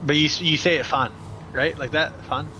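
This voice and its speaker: A man's voice: a little high, fairly even intonation, moderate pace, bright, forceful tone. Teasing young man, noisy street. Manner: teasing, laughing often, restarts words. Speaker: streamer. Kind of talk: joking casual chat. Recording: noisy outdoor street recording.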